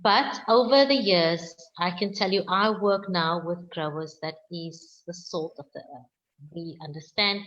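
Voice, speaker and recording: A South African woman winemaker answering questions on a video call, speaking slowly and with firm emphasis. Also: on the low side, fairly bright tone, lo-fi sound. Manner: slow, deliberate, emphatic. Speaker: winemaker guest.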